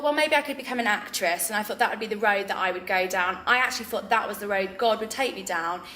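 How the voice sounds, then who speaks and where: A woman's voice: mid-range voice, pushed and bright, a little hiss or hum. A young woman from England with a Midlands accent, earnestly reflecting in an interview with frequent ums. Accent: English Midlands. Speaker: founder of a drama ministry. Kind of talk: interview testimony. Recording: roomy echo.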